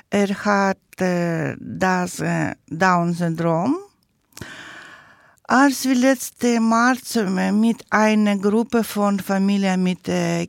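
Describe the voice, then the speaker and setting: A woman from Ukraine, speaking German as a learner in an interview, hesitant and searching for words with many pauses. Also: mid-range voice, dry recording, clean studio sound. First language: not German. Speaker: guest, project participant.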